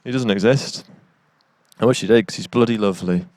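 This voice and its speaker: A man's voice: lowish voice, brisk. A man from England, chatting playfully about his band outdoors. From northeast England. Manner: joking, relaxed, playful. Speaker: band frontman. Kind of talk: casual band chat.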